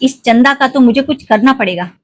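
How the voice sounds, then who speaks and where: A woman's voice: high voice, brisk. A woman voicing a bossy, suspicious working madam of the house in a toy cartoon, in a commanding manner. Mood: angry, demanding.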